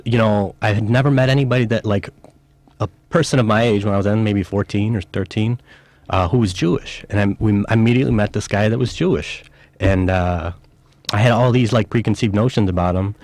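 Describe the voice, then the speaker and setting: A man from Chicago speaks as a guest on a radio show, recalling his past in an earnest, reflective way. His voice sits fairly low, and it sounds dry and close to the mic. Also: fairly even intonation, brisk, darkish tone, dry recording. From northwest side of Chicago, USA. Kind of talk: radio interview.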